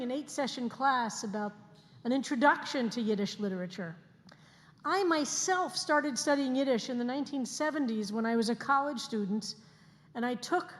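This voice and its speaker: Woman in her 60s preaching in a reverberant hall. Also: high-pitched. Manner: earnest, slows down to stress key phrases. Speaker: rabbi. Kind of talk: sermon to a congregation. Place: synagogue sanctuary, livestreamed service.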